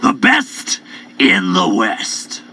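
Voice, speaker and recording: A man narrating children's stories in a playful, theatrical, drawn-out way. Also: on the low side, huge pitch swings. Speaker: storyteller. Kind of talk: animated children's story narration. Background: music.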